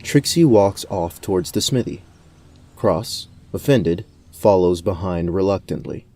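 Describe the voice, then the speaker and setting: A man narrating a scripted fantasy story in a measured, descriptive storyteller's manner. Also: deep voice, expressive, warm tone, a little hiss or hum.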